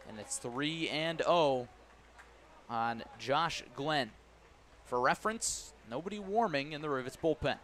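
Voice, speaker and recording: A man doing live baseball play-by-play on the radio, giving measured, detailed calls that swell on key plays. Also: a little high, fairly bright tone, slight background noise. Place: ballpark broadcast position.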